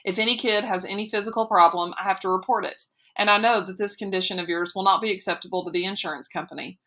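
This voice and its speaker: An awkward middle-school soccer coach, halting and stiff as he cites rules, then quietly sorry, in a woman's reading. Bright-toned and restrained rather than gruff. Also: medium pitch, steady tone. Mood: apologetic. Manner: halting, bureaucratic, then softly apologetic.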